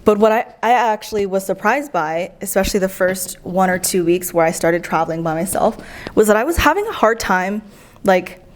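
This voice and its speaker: Woman guest, from the United States, mid-pitched, lively conversational storyteller. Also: a little fast. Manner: chatty, animated storytelling. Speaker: guest, traveler. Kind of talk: casual conversation.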